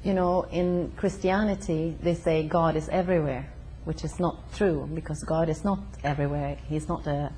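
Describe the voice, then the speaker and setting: A woman from Sweden, a guest in an interview at her home, who speaks haltingly with many fillers. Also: low voice, steady tone, mellow, slight background noise, poor audio. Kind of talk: conversational interview.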